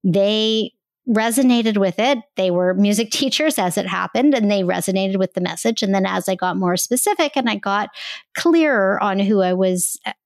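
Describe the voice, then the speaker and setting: A woman's voice: mid-range voice, moderate pace, dry recording, studio quality. A woman guest on a podcast, talking enthusiastically about coaching music teachers. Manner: enthusiastic, explanatory. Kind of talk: casual interview conversation.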